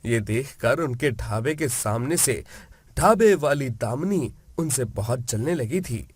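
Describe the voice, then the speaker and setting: A man's voice: mid-range voice, lively intonation, slow pace, breathy edge, no room sound. A man reading scripted narration for a children's moral story.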